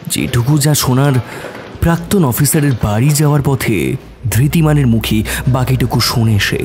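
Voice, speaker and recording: A man's voice: medium pitch, moderate pace, a little mellow, a little hiss or hum. A man narrating a scripted detective story in a dramatic audio-drama style.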